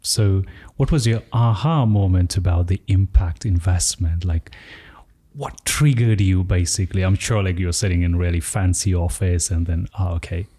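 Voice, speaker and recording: A male podcast host with a low, mellow voice. He chats in a friendly, conversational way, leaning on filler phrases as he asks his questions. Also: moderate pace, slightly rough. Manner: friendly, conversational, uses "you know, like" often. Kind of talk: podcast interview.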